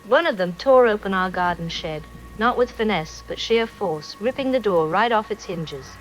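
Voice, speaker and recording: A woman's voice: medium pitch, expressive, normal speed, faint music behind, lightly acted, poor audio. An actress playing a shaken townswoman giving an earnest eyewitness account on a radio call-in. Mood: unsettled. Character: shaken female townsperson phoning in about an attack.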